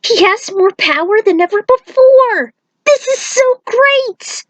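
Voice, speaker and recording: A woman's voice: very high voice, lively intonation, slow pace, no room sound, voice pushed up, full character voice. A woman voicing an earnest, devoted young female warrior with open emotion in a story. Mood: excited.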